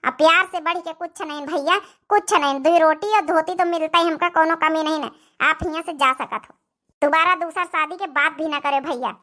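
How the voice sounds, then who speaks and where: Cartoon young wife , female performer: Awadhi accent, piercingly high, bright tone, contented yet emphatic and pleading. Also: moderate pace. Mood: firm, indignant. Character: devoted young married sister, firm and contented. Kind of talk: cartoon family drama dialogue.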